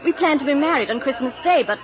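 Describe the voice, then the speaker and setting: A woman's voice: high voice, fast talker, a crowd in the background, close to an everyday voice. An actress playing a sweet, earnest young woman in a breathless, emotional 1940s radio drama style. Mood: wistful, earnest. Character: sweet, earnest young English war bride, amnesiac. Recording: dull 1940s radio recording, audience noise.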